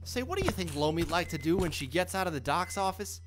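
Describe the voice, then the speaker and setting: A man's voice: sits high, animated, fast. A man playing a simple, loyal, worried working-class man in an audio drama, plain-spoken and folksy. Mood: eager, hopeful.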